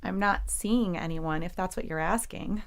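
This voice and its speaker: A woman's voice: on the low side, normal speed, natural voice. Guarded young college woman, played by an actress. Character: guarded young college freshman, quietly defensive. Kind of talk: audio drama acting.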